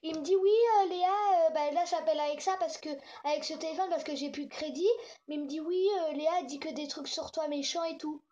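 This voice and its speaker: A woman's voice: high voice, fairly quick, darkish tone. A young girl chatting casually in slangy, improvised speech, thinking aloud as she makes prank calls. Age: child or young teen. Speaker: host making prank calls. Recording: dull lo-fi home recording.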